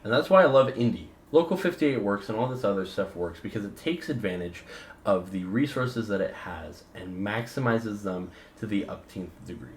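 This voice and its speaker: A man with a fairly low voice talks through his opinions in a casual video essay. His delivery is emphatic and loose, full of informal slang. The recording is roomy and echoey, with a little background noise. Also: animated, moderate pace.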